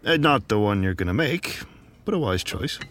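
An actor playing a chatty, nosy, wry bartender with a folksy, teasing way of talking in a 1930s noir audio drama. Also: male voice, fairly low pitch, big pitch swings, moderate pace, a bit bright.

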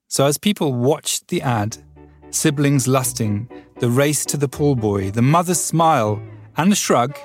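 Male podcast host, even mid-pitched voice. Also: no echo, studio quality. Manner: conversational, with fillers when asking questions. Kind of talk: scripted narration, then interviewing.

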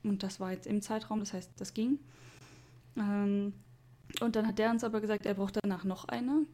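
A woman's voice: medium pitch, steady tone, moderate pace, mellow. Chatty young woman telling a story. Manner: chatty storyteller, quotes people with laughs. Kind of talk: casual chat.

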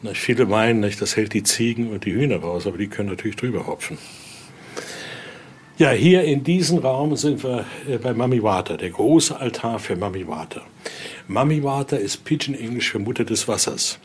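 A man's voice: lowish voice, lively intonation, moderate pace. An older man explains and tells stories like a tour guide, speaking spontaneously and often adding little questioning tags. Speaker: museum guide and interviewee. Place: indoor exhibition room. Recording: clearly noisy room ambience.

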